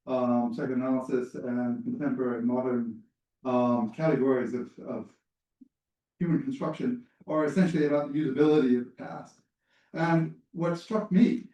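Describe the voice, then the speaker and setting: Mellow man commenting from a distant echoey spot. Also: mid-range voice. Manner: thoughtful, hesitant with fillers. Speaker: seminar participant. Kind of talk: academic comment. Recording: echoey room, far from mic.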